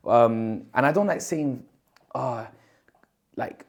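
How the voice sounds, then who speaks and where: A young man from Colombia speaks in a relaxed podcast conversation. He tells stories in a rambling, chatty way, full of fillers and restarts, at a middling pitch. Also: slow pace, creaky, a little hiss or hum. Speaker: podcast guest. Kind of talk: casual interview chat.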